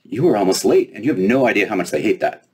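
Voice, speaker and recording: A man's voice: on the low side, lively intonation, fast talker, breathy edge. A man voicing a smug, self-important young fairy princess with a flippant manner in a storybook reading. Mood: impatient.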